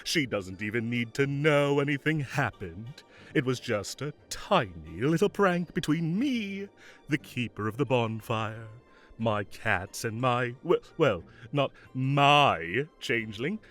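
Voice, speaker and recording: Man acting as a theatrical, animated fae king. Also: medium pitch, soft background music. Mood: flustered, evasive. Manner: theatrical, halting when flustered. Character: mischievous, evasive male fae king of summer, secretly fond. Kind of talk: scripted audio roleplay acting.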